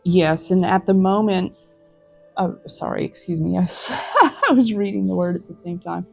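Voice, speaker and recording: A woman hosting a tarot reading, talking to viewers in a gentle, reflective, encouraging way. She is recorded outdoors in a forest. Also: fairly low pitch, moderate pace, vocal fry, faint music behind. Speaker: tarot reader.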